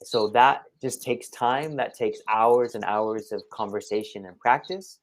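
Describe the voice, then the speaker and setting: A man's voice: lowish voice, unhurried. A man giving conversational one-to-one tutoring advice as an English tutor, explaining things step by step in an encouraging way. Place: online video call.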